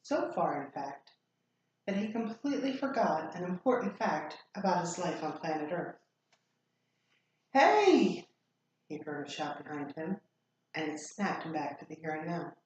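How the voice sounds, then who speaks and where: Woman, teacher's story read-aloud, slightly low pitch, expressive, deliberate, heavy room echo. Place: echoey room. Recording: very echoey room, faint noise.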